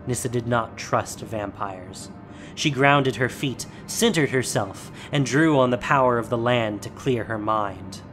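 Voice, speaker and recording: A man's voice: medium pitch, a little slow, over music. Man narrating a solemn fantasy story.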